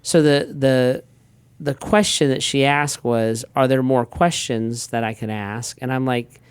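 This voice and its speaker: A middle-aged man, a pastor, telling everyday stories in a relaxed chatty way with frequent restarts. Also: mid-range voice, unhurried, clear, resonant tone. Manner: relaxed storyteller, frequent restarts and quoted asides. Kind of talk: casual conversational talk.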